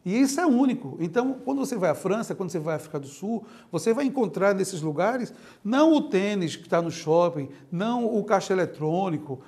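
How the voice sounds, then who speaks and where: A man from Pernambuco with a Pernambuco accent, a historian answering interview questions in an animated, explanatory way. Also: sits high, a little fast. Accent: Pernambuco Portuguese. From Pernambuco, Brazil. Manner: animated, laughs while making points. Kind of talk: explanatory talk-show interview.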